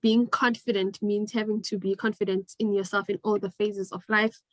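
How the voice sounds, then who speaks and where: A woman's voice: mid-range voice, flat delivery, normal speed, no echo. Earnest 20-year-old woman. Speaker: guest, university student.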